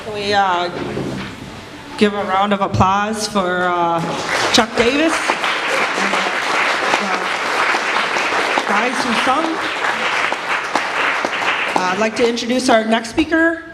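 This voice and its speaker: A woman hosting an event, introducing the next speaker slowly and haltingly, with many fillers. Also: mid-range voice. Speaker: event host. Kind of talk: hesitant introductions. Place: indoor community gathering. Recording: reverberant hall from a crowd. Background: crowd noise.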